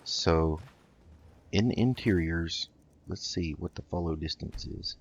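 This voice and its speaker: A man's voice: low-pitched, slow, husky, highs cut off. A man giving casual video game commentary, thinking aloud in a hesitant way as he plays. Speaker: host of a video game roleplay let's play.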